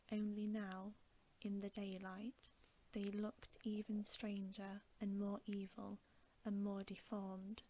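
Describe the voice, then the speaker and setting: A woman reading a story aloud as bedtime ASMR in a soft, breathy whisper, with gentle, hushed and measured narration. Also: mid-range voice, a little hiss or hum.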